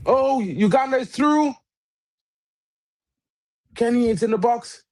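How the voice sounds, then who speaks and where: A man hosting a live football watch-along, reacting loudly to chances and chatting with guests, English not his first language. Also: very high voice, animated, slow. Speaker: watch-along stream host.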